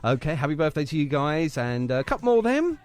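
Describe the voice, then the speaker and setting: A man's voice: on the high side, sing-song, fairly quick, smooth. A man hosting a radio show in a chatty, jovial, bantering manner. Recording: music playing underneath the voice. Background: music bed.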